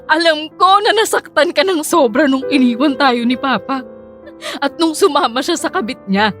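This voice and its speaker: A woman's voice: high-pitched, lively intonation, normal speed, a bit bright. A woman acting a hardworking, weary student daughter in a Filipino radio drama, earnest and emotional, pleading with a Filipino accent. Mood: tearful, defiant. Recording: music bed under the voice.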